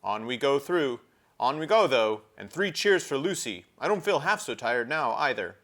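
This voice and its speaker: A man reading aloud and voicing a brisk, encouraging older boy who leads as king. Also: mid-range voice, sing-song, a bit bright, pitched above the performer's own voice. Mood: cheerful.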